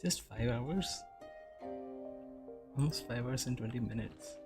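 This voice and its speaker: A man giving casual, low-key reaction commentary in short musing remarks while playing a game. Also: slow pace. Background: game music.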